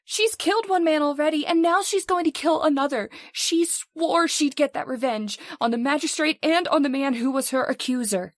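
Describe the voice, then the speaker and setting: A woman's voice: high voice, normal speed, hardly any pauses, dry recording, natural voice. A woman playing a distraught, pleading wife in old-time radio horror, earnest, fretful and melodramatic. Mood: urgent. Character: distraught, pleading wife convinced of a witch's revenge.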